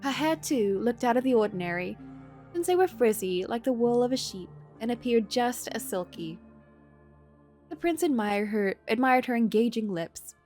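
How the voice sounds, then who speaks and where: A woman's voice: a little high, expressive, normal speed, a little mellow, faint music behind. A woman livestreamer reading a visual novel aloud, moving between story narration and casual commentary.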